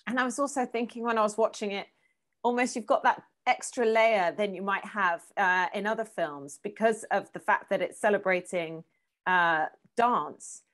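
A woman's voice: medium pitch, normal speed, a bit bright. A woman in her thirties asking conversational interview questions over a video call from a room at home.

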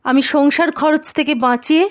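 Woman acting a meek, high-voiced mother-in-law. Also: fairly even intonation, a little fast, natural voice. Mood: meek apologetic. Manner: apologetic, placating, gentle. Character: meek, patient, kindly middle-aged mother-in-law. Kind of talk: Bengali cartoon voice acting.

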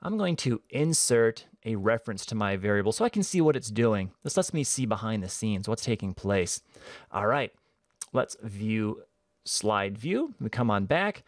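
A man giving a casual, conversational software tutorial, explaining each step as he goes. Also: fairly low pitch, big pitch swings, moderate pace, no room sound, studio quality.

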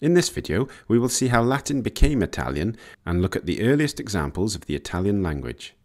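Low-voiced man narrating, lively intonation. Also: fairly quick. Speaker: narrator of an educational language video. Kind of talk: scripted narration.

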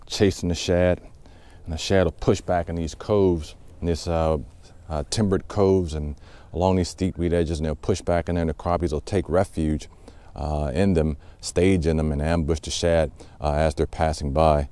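A man hosting a fishing channel, explaining technique in a relaxed, casual way with many fillers while out on a boat on a lake. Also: extremely low pitch, normal speed, clear, resonant tone. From Southwest Ohio.

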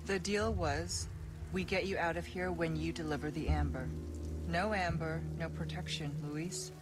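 Actress doing a cool teasing spy lady in a game, calm and sly, noisy scene. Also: on the low side, normal speed, close to an everyday voice. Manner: smooth, teasing, composed. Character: cool, teasing female spy, young adult. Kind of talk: game cutscene acting.

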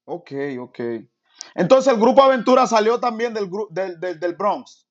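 A man with a high voice interviews a guest over a phone video call. He stumbles and restarts his questions as he goes. The sound is dull and lo-fi, like compressed call audio. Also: lively intonation, unhurried.

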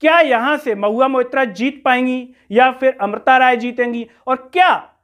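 A man's voice: extremely high pitch, normal speed, fairly bright tone, smooth. A man presents political commentary in a rhetorical, questioning, accusatory way. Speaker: news commentary host. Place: small room indoors.

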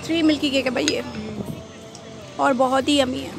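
A woman in her thirties reviewing a rooftop restaurant at night, chatty and enthusiastic, with frequent pauses, filmed on a phone at arm's length. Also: high-pitched, steady tone, gravelly, music in the background. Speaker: food vlogger. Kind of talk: casual restaurant review vlog. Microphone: phone held at arm's length. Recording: loud traffic noise around. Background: traffic noise.

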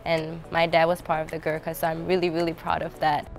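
A woman's voice: sits low, monotone, brisk, vocal fry, faint music behind. A young woman from Nepal, a university student chatting in an indoor interview with many fillers. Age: young adult. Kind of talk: casual interview. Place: indoor lounge with fireplace.

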